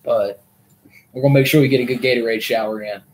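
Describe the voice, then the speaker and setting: A man's voice: mid-range voice, fairly even intonation, background noise. Rambling male podcast co-host. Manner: rambling storyteller with quick asides. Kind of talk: casual chat.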